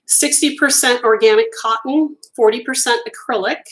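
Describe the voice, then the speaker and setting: A woman's voice: fairly high pitch, normal speed, poor audio. A middle-aged woman whose first language is Italian, chatting enthusiastically through a casual live-stream unboxing, with lots of ums. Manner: chatty and enthusiastic, gushing over colors, many ums. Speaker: crochet designer hosting a live stream.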